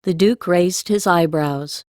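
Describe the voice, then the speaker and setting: A woman's voice: fairly low pitch, slow pace, darkish tone, no echo, studio quality. A woman reading scripted storybook narration as an audiobook narrator.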